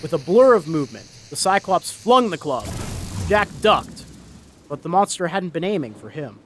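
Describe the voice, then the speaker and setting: A man's voice: a little high, big pitch swings, normal speed, a little hiss or hum. A man reading a scripted story as a dramatic narrator. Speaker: narrator, reader. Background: story sound effects.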